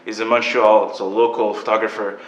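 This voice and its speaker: A man's voice: lowish voice, level tone, brisk, lo-fi sound. A young man hosting a podcast interview, upbeat and chatty, with lots of fillers. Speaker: podcast host. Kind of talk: casual interview. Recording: echoey room.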